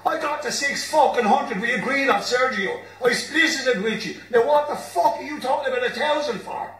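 A man's voice: very high-pitched, normal speed, fairly bright tone, pitched above the performer's own voice. Man voicing a plain-speaking, good-natured Irish musician for radio drama, roomy recording. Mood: indignant. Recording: roomy, distant mic, background noise.

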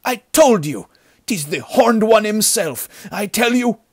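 Man acting a shrill, panicky rat-like bandit. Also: measured pace, dry recording, studio quality. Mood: terrified, babbling. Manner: excitable, urgent, exclamatory. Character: frightened, rat-like bandit, shrill and babbling, malevolent. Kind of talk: dramatic storybook character acting.

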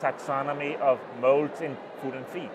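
A middle-aged Danish man with a Danish accent, giving an oral history interview at a conference and talking in halting phrases with many restarts. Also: mid-range voice, flat delivery, background noise. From Denmark. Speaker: interviewee, mycologist. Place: small booth at a conference, indoors. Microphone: lapel mic.

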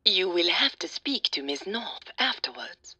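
A woman voicing a composed, insistent older mother in an audio drama, formal and brisk in manner. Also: mid-range voice, very animated, sharp tone, breathy edge, no echo, voice pushed up, exaggerated voice. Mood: dismissive, firm.

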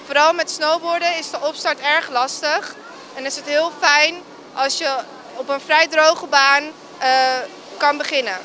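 A woman's voice: high voice, steady tone, a little slow, pushed and bright, a little hiss or hum. A woman ski instructor explaining the lessons plainly in an interview. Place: indoor ski hall.

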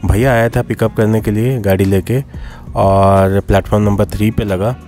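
A man's voice: lowish voice, normal speed, soft background music. Chatty man vlogging on a train trip. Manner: chatty, relaxed, with many filler sounds. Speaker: travel vlogger. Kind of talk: casual travel vlog updates. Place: outdoors on railway platforms and a garden. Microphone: handheld camera selfie framing.

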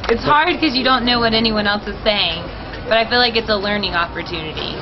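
A woman's voice: mid-range voice, brisk, background noise. A young woman volunteer chatting enthusiastically at an outdoor market. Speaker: mission volunteer. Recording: muffled, busy market crowd behind.

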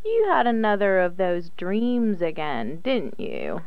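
A woman's voice: on the low side, huge pitch swings, slow, clear, resonant tone, dry recording, lo-fi sound, exaggerated voice. An actress playing a blunt, nagging but concerned woman at home in a radio drama. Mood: chiding, concerned.

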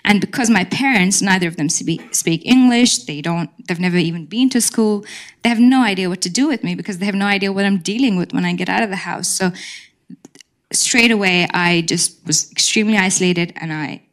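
A woman's voice: mid-range voice, fairly quick. A young woman on a panel in a university seminar room, telling her own settlement story in an earnest, frank and reflective way. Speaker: panelist sharing lived experience.

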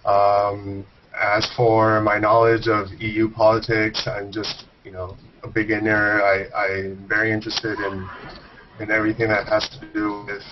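A man's voice: fairly low pitch, unhurried, recorded from a distance, background noise. A young man raised between Spain and the United States, introducing himself casually on a video call. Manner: flat, casual, uses "you know". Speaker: panelist. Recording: dull lo-fi video call audio.

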